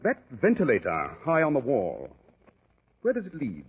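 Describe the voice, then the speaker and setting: An actor playing a shrewd, unruffled gentleman detective, precise and dryly witty, in 1940s radio drama style. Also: mid-range voice, wildly swooping pitch, normal speed, breathy edge, narrow, tinny audio, full character voice. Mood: keen, inquisitive. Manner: urbane, precise, dryly ironic. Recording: thin, band-limited 1940s radio recording.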